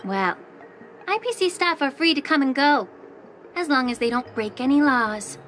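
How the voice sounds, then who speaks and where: An actress playing a calm, long-lived alchemist official who speaks with dry, teasing sarcasm in a video game. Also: female voice, sits high, moderate pace, slight background noise. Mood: composed. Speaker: head alchemist.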